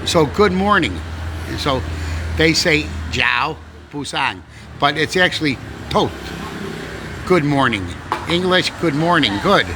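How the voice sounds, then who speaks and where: A man's voice: on the high side, lively intonation, slow. A man vlogging casually, rambling and repeating words with loose grammar, recorded outdoors. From Canada. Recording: noisy outdoor street recording.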